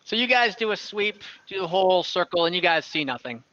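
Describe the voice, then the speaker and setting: A man's voice: sits high, expressive, a little fast, sharp tone, dry recording, dull audio. A man narrating an improvised tabletop game casually as game master, thinking aloud and correcting himself, on a close mic over an online call.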